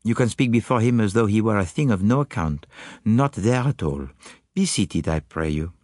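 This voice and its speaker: A man's voice: on the low side, expressive, moderate pace, darkish tone, no echo, clean studio sound, voice pushed up, close to an everyday voice. A man voicing a courtly, confident Belgian detective with a French-speaking accent and formal, precise phrasing, in an audiobook story. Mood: courteous, measured. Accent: French-speaking Belgian, put on.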